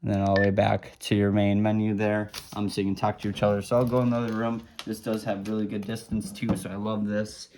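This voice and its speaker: A man's voice: lowish voice, flat delivery, brisk, few pauses, darkish tone, slight background noise. A man giving a casual product demonstration, explaining simple steps in an easygoing, informal way. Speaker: product reviewer.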